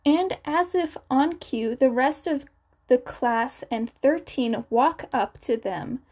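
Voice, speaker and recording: A woman's voice: high voice, takes it slowly, a little mellow. A woman reading scripted fan fiction as the narrator of a podfic.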